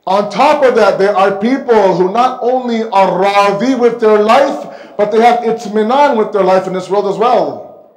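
A man delivers a religious lecture in a rather high voice. He speaks emphatically, repeating phrases for weight and posing rhetorical questions. The sound is noticeably echoey, picked up on a microphone in a hall. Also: unhurried. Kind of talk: sermon lecture. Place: indoor mosque hall, seated at the pulpit. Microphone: microphone on a stand close in front.

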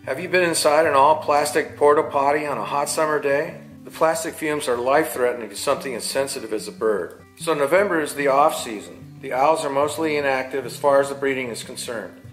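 An older man, a falconer, narrating an educational piece in a measured, matter-of-fact way from his living room. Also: medium pitch, fairly bright tone. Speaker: falconer and barn owl box installer. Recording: roomy sound with faint music.

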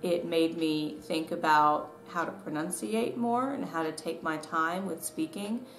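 A woman's voice: sits low, a little slow, a bit bright. A woman in her thirties from the southern US, chatting casually and self-deprecatingly as she tells a personal story to camera. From southern US, Tennessee and Georgia. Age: 30s. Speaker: author and vlogger. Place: small living room at home, indoors. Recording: echoey room with background noise.

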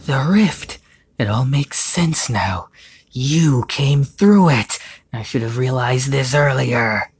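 A narrator as an emotional, high-pitched rag doll hero. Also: expressive, slow pace, husky, no echo, full character voice. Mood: realizing. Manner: emotional, pleading. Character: earnest, heartbroken male living rag doll hero.

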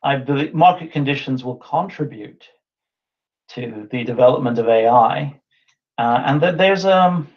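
A man's voice: medium pitch, a little slow. A man in his thirties giving an online talk and answering questions, thinking aloud with restarts and fillers, over a webcam video call. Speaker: invited speaker. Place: indoor room with whiteboard. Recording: dull lo-fi video call audio.

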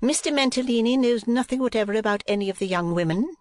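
A narrator voicing a haughty, pouting middle-aged businesswoman wife, imperious and sharp-tongued, in an audiobook. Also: medium pitch, lively intonation, no echo, pitched above the performer's own voice, close to an everyday voice. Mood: brisk, imperious. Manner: imperious, brisk, put-upon.

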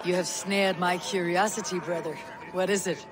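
An actor playing a steady young Viking warrior in a video game cutscene, dry and wry. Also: male voice, sits high, normal speed, natural voice. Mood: teasing.

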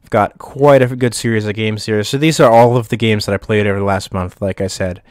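A young man hosting his channel, giving relaxed gameplay commentary, his voice a bit off from getting over the flu, thinking aloud with pauses and restarts. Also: fairly low pitch, no room sound, studio quality. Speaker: YouTube channel host. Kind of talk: casual gameplay commentary over screen recording.

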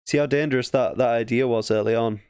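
A man's voice: medium pitch, fairly even intonation, quick pace, a little mellow, clear, resonant tone, no room sound, studio quality. A man with an English accent giving casual, thinking-aloud game commentary as a chess streamer, often muttering half-finished thoughts. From England.

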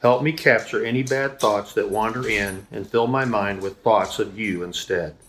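A man's voice: lowish voice, normal speed, fairly bright tone, slight background noise, poor audio. A man in his fifties reading a devotional aloud for children. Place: indoors in a room at home, seated at a table.

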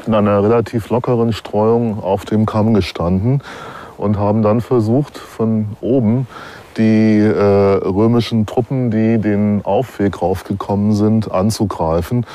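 A man's voice: on the low side, fairly even intonation, a little slow, mellow. A man explaining things as an expert in a documentary interview. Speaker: archaeology expert.

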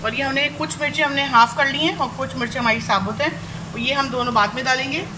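A woman with a Pakistani Urdu accent, calmly talking through a cooking demonstration step by step in her home kitchen. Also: sits high, fast talker. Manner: calm step-by-step explaining. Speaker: cooking channel host. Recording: echoey kitchen with sizzling noise.

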